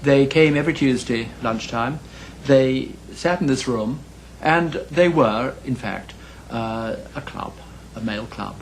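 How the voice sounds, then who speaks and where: An older man reminiscing in a pub interview, speaking in a halting, hesitant way. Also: medium pitch, rough recording. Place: inside a pub. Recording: clear background noise.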